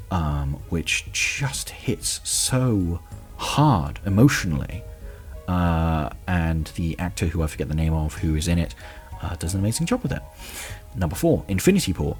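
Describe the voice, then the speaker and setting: Low-voiced man hosting a film countdown. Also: darkish tone. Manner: casual, halting, emphatic, blunt opinions. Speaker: show host. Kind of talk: opinionated film countdown commentary.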